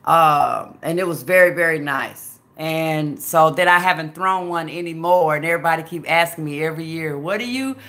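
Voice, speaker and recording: Woman in her fifties, Southern accent, gravelly, bright-toned, folksy livestream chat. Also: low-pitched, normal speed, few pauses. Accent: Southern American. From Memphis, USA. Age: 50s. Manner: folksy, chatty, calls viewers baby and girl. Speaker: livestream host chatting with viewers.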